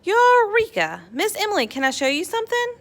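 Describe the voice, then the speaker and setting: A woman's voice: very high voice, huge pitch swings, brisk, voice pushed up, full character voice. A woman voicing an eager, opinionated young girl inventor from a children's storybook, speaking emphatically. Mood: excited, eager. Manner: emphatic, exclamatory.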